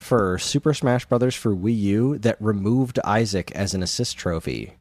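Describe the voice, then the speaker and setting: A man's voice: on the low side, animated, moderate pace, darkish tone, no echo. A man co-hosting a podcast, chatting casually and telling stories in a conversational way. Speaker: podcast co-host. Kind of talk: casual chat about video games.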